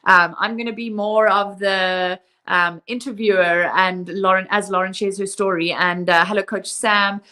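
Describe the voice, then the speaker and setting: A woman's voice: medium pitch, steady tone, moderate pace, few pauses, pushed and bright, clean tone. A young woman hosting a live-stream interview, chatting casually with many "like" and "um" fillers. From Zimbabwe. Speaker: host and interviewer of a fitness app live stream.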